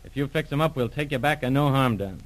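A man's voice: medium pitch, fast talker, lightly acted. A man playing a tough gangster henchman, slangy and bossy, in 1940s radio drama style. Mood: coaxing, menacing. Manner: slangy, barking orders. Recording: old radio serial recording.

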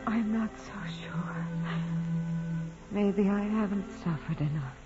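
An actress playing a frail, devout young nun, gravely ill, pleading haltingly in a 1940s radio drama. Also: female voice, medium pitch, lightly acted. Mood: anguished. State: weak, sick, straining to speak. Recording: muffled vintage radio recording, music behind.

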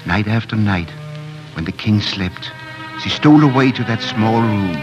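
A man's voice: on the low side, measured pace, highs cut off. A man narrating a fairy tale in solemn, lyrical 1940s radio drama style. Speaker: storyteller narrator. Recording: old radio recording with music behind.

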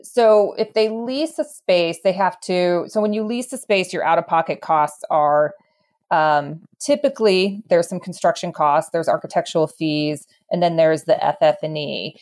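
Female interview guest, low pitch, property professional, thoughtful explanatory delivery with a halting flow. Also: a little mellow. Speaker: real estate expert guest.

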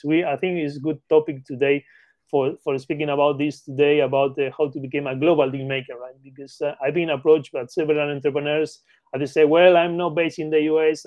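Argentine man, eager, halting English. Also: fairly high pitch, darkish tone, no echo. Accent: Argentine Spanish. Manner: eager, repeats phrases, halting non-native English. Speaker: guest, M&A expert. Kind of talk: casual interview talk.

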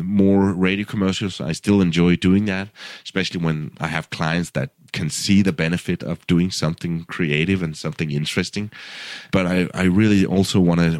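A deep-voiced man in his fifties with a Danish accent, English not his first tongue. He talks in a loose, conversational way, restarting phrases and doubling words as he goes. The sound is dry and close. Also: no echo. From Denmark. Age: 50s. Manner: repeats words and restarts often, chuckles, animated when quoting himself. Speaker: podcast guest, radio producer. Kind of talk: casual interview storytelling.

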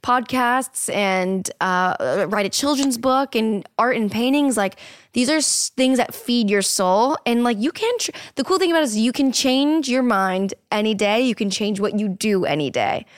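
Woman host, 20s, higher voice, chatty and encouraging, dry mic. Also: moderate pace, no room sound. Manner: chatty, encouraging, swears casually. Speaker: podcast host. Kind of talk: casual podcast chat.

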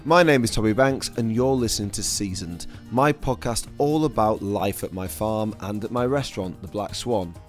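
Croaky-voiced male chef hosting a podcast. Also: fairly low pitch, normal speed, few pauses, faint music behind. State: croaky, losing his voice. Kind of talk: scripted podcast narration.

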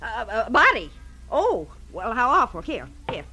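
A woman playing a nosy, sour, excitable old American lady with a gossipy, indignant manner, in 1940s radio style. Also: a little high, a little slow, heavily acted. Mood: nervous, nosy. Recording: faintly noisy old radio recording.

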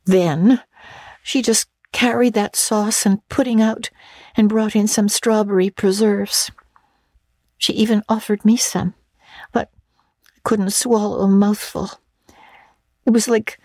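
A woman's voice: mid-range voice, a little mellow, no room sound, voice pushed up. A woman voicing an imaginative, earnest girl who talks breathlessly and theatrically with stammering restarts.

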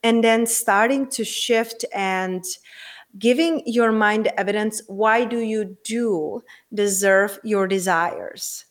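A woman's voice: mid-range voice, takes it slowly. Earnest Czech-accented woman. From Czech Republic. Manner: earnest, animated, lots of rhetorical questions. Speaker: guest. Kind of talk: casual interview chat.

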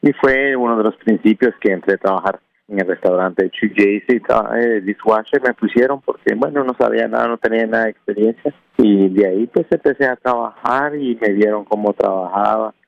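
A man from Guatemala whose first language is K'iche', a restaurant owner telling his story in a hesitant, unhurried way with many pauses. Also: lowish voice, gravelly, dry recording. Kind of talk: phone interview. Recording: dull phone line, dry.

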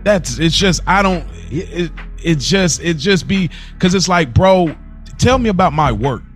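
A man's voice: high-pitched, expressive, a little slow, soft background music. An American man co-hosting a podcast in casual chat, slangy and emphatic, often repeating himself for stress. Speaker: podcast co-host.

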